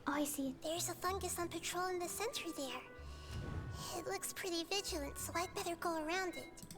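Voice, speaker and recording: Very high, gentle little goddess. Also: female voice, a little fast. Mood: watchful. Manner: composed, earnest, articulate. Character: gentle child-like goddess, small girl, wise and calm. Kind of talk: video game character acting. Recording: game music behind the voice.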